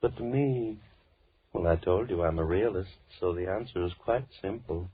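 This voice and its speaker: An actor playing a politely menacing madman who holds two girls captive, speaking in a measured, mannered way in an old-time radio drama. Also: low-pitched, husky. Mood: calmly threatening. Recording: muffled lo-fi vintage radio recording.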